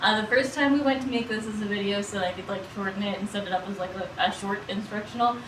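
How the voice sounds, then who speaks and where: A woman's voice: medium pitch, fast talker. A middle-aged woman chatting casually and playfully as she cooks on a livestream, rambling and often repeating her words. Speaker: cooking streamer. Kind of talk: casual livestream chat while cooking. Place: small indoor kitchen room. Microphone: distant, several feet away. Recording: roomy echo, distant mic, background noise.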